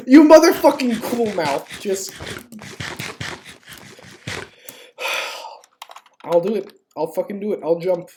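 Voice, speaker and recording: A woman with a slightly low voice streams a game casually, taking her time. She talks informally, swears freely and mock-pleads with her viewers. There is faint noise with keyboard clicks behind her. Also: warm tone. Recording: clearly noisy home stream, keyboard clicks.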